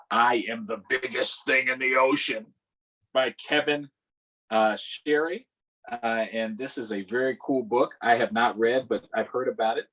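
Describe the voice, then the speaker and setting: Husky American man hosting children's storytime. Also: mid-range voice, fairly bright tone, low-quality recording. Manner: upbeat, conversational. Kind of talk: casual livestream talk to children.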